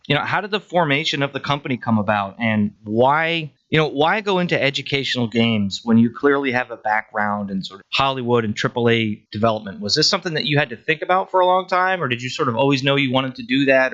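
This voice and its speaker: A male co-host with a bright, forceful voice chats eagerly and playfully with his guest. The sound is a little dull and lo-fi. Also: mid-range voice, expressive, fairly quick, few pauses. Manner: enthusiastic, playful. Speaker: podcast co-host. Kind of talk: casual podcast chat. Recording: slightly dull lo-fi audio.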